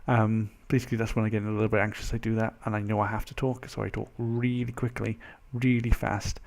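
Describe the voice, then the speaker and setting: A young man with a mild Welsh accent, chatting casually as a vlogger in a rambling, animated, self-interrupting way. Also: fairly low pitch, fast, vocal fry. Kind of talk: casual chat to camera.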